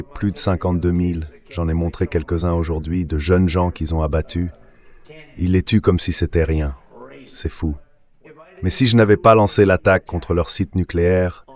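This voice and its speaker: Deep synthetic dubbed guy, blunt repetitive politician doing press remarks. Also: darkish tone. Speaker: politician answering questions. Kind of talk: off-the-cuff press remarks, translated voice-over.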